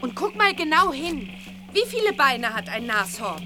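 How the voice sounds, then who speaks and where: A woman's voice: high-pitched, big pitch swings, normal speed, noisy background. An actress playing a cheeky, fearless young witch girl in a children's audio play, bubbly and confident.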